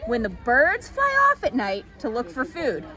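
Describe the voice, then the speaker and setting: Lady doing a sweet dim sleepy bear for a kids story, polite, a bit plaintive, pitched high. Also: huge pitch swings, unhurried, bright, forceful tone, some chatter behind, heavily acted, rough recording. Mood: thoughtful. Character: gentle, slow-witted, sleepy male honey-loving bear. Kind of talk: children's storybook character reading.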